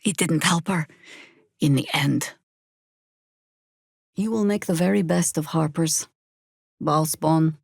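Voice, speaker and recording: A middle-aged warrior druid from a fantasy game, world-weary and sardonic. Her voice sits fairly low and moves very slowly, with dry, measured delivery. The recording is close and dry, like studio game dialogue. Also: creaky, darkish tone, breathy edge, no echo, exaggerated voice. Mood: wry, measured. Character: seasoned, wry, world-weary female Harper warrior druid. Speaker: video game voice actor. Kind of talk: dramatic video game character dialogue.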